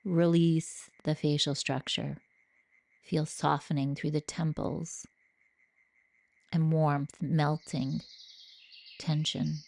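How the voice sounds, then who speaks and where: A woman leading a guided yoga nidra meditation, speaking gently and soothingly with long pauses. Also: low-pitched, flat delivery, vocal fry.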